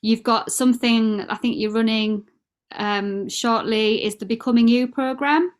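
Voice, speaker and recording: Woman host, fairly high voice, friendly and giggly in conversation. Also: steady tone, measured pace. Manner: warm, affectionate, laughs easily. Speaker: podcast host. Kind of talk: casual interview chat.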